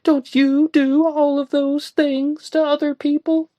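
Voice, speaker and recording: A woman reading a story aloud and voicing a distraught, volatile young woman who pleads and lashes out in shrill outbursts. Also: little pitch movement, slow pace, warm tone, dry recording. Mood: upset.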